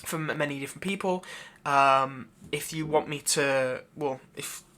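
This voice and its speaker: Bright-voiced man, rambling casual update. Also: fairly high pitch, a little slow. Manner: rambling, hesitant. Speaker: channel host, audio roleplay creator. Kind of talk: casual unscripted channel update.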